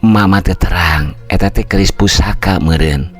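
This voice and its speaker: An older man voicing a plain, practical village mother, speaking softly, slowly and warmly as he tells the tale. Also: deep voice, soft background music, close to an everyday voice. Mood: gentle.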